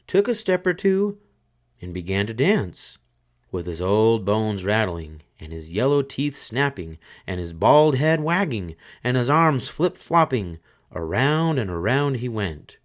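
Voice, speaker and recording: Slow, deep-voiced male storyteller. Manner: slow, expressive, dramatic reading. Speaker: storyteller, channel host. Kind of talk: read-aloud spooky story narration.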